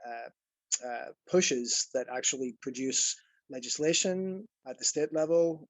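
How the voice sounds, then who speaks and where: A man's voice: mid-range voice, dry recording, rough recording. A man from Ireland, presenting as a nonprofit program director in a webinar, earnest and explanatory, with frequent fillers and restarts.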